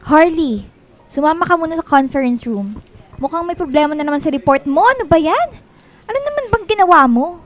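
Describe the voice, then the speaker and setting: Woman doing a catty filipino office lady, really high voice, always blaming, radio drama style. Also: expressive, brisk. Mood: impatient. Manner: needling, accusatory. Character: snide, fault-finding female office superior.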